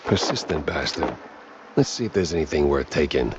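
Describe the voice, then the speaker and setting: An actor playing a world-weary cartoon mouse private eye in a video game, with a low, gruff voice and dry, wisecracking noir delivery. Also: male voice, big pitch swings, moderate pace, muffled recording, very noisy. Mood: tense.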